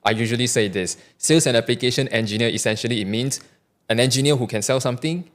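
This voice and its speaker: A man's voice: medium pitch, fast talker. A man in his thirties from Malaysia with a Malaysian English accent. He is a podcast guest in casual conversation, speaking candidly, repeating words and checking in with "right?". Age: 30s.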